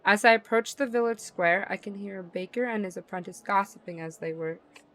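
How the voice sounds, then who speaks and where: A woman's voice: medium pitch, a little fast, fairly bright tone. A woman livestreamer reading visual novel narration aloud and reacting in a playful, slangy way. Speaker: livestream gamer.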